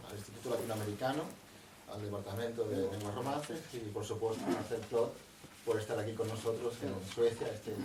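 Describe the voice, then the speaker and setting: A middle-aged man, a historian moderating and introducing a guest speaker in a measured, discursive way, often adding tag questions. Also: mid-range voice. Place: university library lecture room. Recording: echoey, distant room recording with faint noise.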